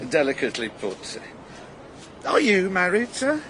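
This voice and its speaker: An actor playing a cynical, jealous married gossip, sardonic and insinuating, in a radio drama. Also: male voice, high voice, huge pitch swings, slow pace, pushed and bright, a little hiss or hum, heavily acted. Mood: knowing, insinuating. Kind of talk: naturalistic radio drama acting.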